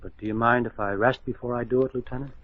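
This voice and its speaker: A man acting a dazed hospital orderly who gives his account haltingly in an old-style radio mystery. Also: medium pitch, steady tone, close to an everyday voice. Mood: anxious, explaining. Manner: halting, hesitant answers. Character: dazed male hospital orderly telling a false story. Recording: dull lo-fi old radio recording.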